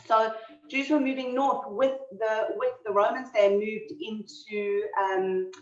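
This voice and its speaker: A woman's voice: a little high, steady tone, slow pace. South African woman lecturing over a video call. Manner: chatty, many fillers and restarts. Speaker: lecturer on history. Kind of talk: online history talk. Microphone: computer mic on a video call. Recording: roomy, distant, dull video-call audio.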